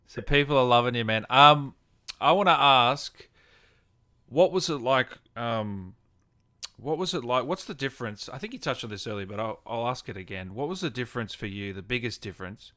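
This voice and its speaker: Guy doing a relaxed livestream interview as host, bright forward voice, mid pitch. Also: moderate pace.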